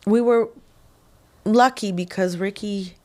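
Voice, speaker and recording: A woman's voice: medium pitch, slow pace. A woman from Quebec, a singer-songwriter guest, telling stories in a casual interview and acting out quotes with laughs. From Quebec, Canada. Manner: animated storytelling, acts out quotes with laughs.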